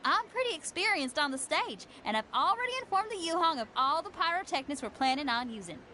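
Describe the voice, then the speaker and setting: A woman's voice: high-pitched, big pitch swings, brisk, noisy background. A woman playing a spirited, easygoing young rock musician in a video game, folksy, confident and friendly. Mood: reassuring.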